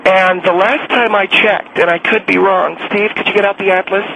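A man's voice: high voice, steady tone, moderate pace, creaky, noisy background, pitched above the performer's own voice. A man voicing an indignant American woman caller who interrupts and sneers at others on a radio show. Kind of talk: comedy radio call-in bit.

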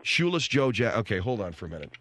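A man's voice: fairly low pitch, very animated, fast talker, a bit bright. A man hosting a radio call-in show, probing callers with questions. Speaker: radio talk show host.

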